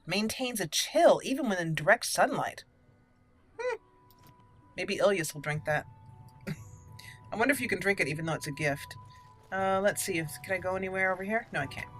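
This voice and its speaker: A woman's voice: medium pitch, expressive, normal speed, pauses often, soft background music. A woman hosting a let's play, chatting and thinking aloud as she roleplays through a game. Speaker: gaming channel host.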